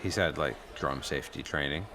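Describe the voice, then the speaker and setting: A man playing a curious, easily impressed new high school boy in an improvised comedy radio play, asking and reacting in casual slang. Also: a little fast, bright, forceful tone, a little hiss or hum.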